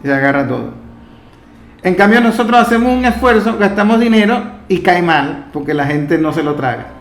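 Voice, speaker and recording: A Venezuelan man hosts an interview in a strongly echoing room. His voice sits a little high for a man. He talks conversationally, offering opinions alongside his guest. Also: expressive, normal speed. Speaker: interviewer, host. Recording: echoey, roomy sound.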